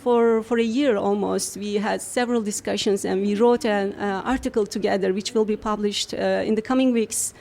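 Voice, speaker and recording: A woman university researcher, a radio guest, explains her work in long, measured answers. Her voice sits at a middling pitch, and she pauses often on fillers as she builds each point. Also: fairly even intonation, gravelly, mellow, slight background noise.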